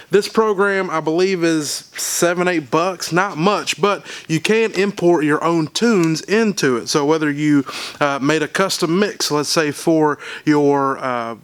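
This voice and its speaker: A man with a fairly high-pitched voice talks through his sound setup in a loose how-to vlog. He sounds congested and stuffed up, and he rambles with plenty of uh and um fillers. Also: a bit bright, smooth. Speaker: DJ and vlogger. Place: at an event venue beside a PA speaker.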